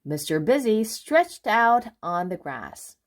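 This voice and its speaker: Expressive woman reading a storybook, very lively pitch. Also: slow. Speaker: children's book reader. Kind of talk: expressive storybook read-aloud.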